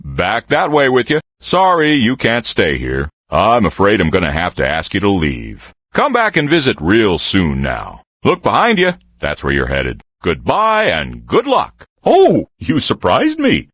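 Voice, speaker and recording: Deep husky grizzly bear for a board game, folksy and lazy, voice swoops all over the place, dry close sound. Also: male voice, wildly swooping pitch, a little slow, fairly bright tone, no echo, heavily acted. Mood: folksy grumbling. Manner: folksy, drawling, jokey asides. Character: folksy good-natured grizzly bear, male, big and lazy. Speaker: game character voice. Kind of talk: game voice lines.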